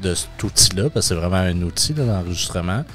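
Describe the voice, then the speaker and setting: A man's voice: deep voice, animated, quick pace, a bit bright, soft background music. A man with a Québécois accent, co-hosting a casual podcast chat about radio gear, mixing in English words. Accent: Québécois French. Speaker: podcast co-host, crowd management.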